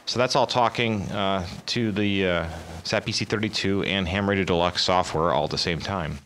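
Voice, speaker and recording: A man with a halting, explanatory manner, presenting a casual walkthrough of amateur radio software as its operator. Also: sits low.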